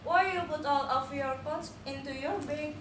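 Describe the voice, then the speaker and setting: Woman playing a high-voiced, pleading poor mother. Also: normal speed, natural voice. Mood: tearful, pleading. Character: poor, gentle, pleading mother who sells cakes. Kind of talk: amateur puppet show acting. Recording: distant mic, echoey room, noisy.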